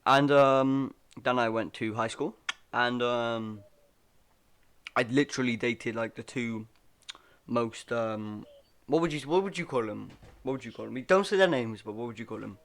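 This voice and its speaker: A young man hosts a loose, chatty podcast, meandering through his stories and laughing along the way. His voice sits at an ordinary middle pitch, and the talk is loose and full of filler. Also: normal speed. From Wales. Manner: rambling, laughing, lots of "bro" and "like". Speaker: podcast host. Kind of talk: casual chat.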